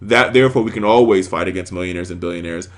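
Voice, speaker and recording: A man's voice: low-pitched, fast talker. An American man hosting a political talk show, giving emphatic, sarcastic commentary on the news to his audience.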